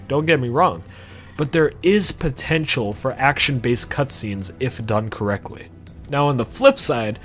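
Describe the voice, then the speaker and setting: A man narrating a scripted video essay about games in an emphatic, conversational way with sarcastic asides. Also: medium pitch.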